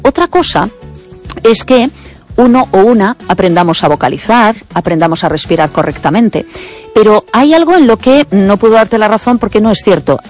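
A veteran woman radio host, speaking quickly and insistently with many fillers in a radio conversation. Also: medium pitch, expressive, darkish tone, soft background music.

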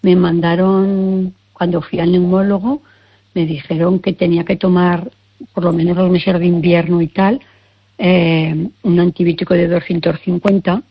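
A woman's voice: lowish voice, little pitch movement, measured pace, husky, muffled recording. An older woman with a persistent cough, hesitantly explaining her symptoms and often searching for words. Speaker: caller seeking health advice. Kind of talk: casual consultation.

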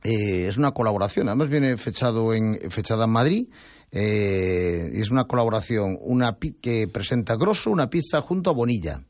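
A man's voice: lowish voice, animated, moderate pace, no echo, studio quality. A man hosting a radio show, chatting and reading news with many fillers and restarts. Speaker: radio host. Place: radio studio.

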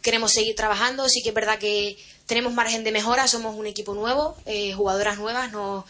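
A woman's voice: medium pitch, steady tone, fast talker, noisy background. A woman footballer answering a press interview.

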